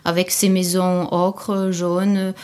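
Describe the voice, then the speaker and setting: A woman from Corsica with a southern French Midi accent, answering interview questions in a measured, thoughtful way. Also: fairly low pitch, flat delivery, warm tone, clean tone. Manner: measured, thoughtful, explaining at length. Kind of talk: unscripted interview answers for a language course.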